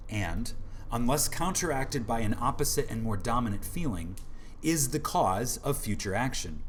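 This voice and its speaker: A man reading an audiobook from a script in an emphatic, deliberate manner, with dramatic pauses for effect. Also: mid-range voice, a little hiss or hum. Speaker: audiobook narrator.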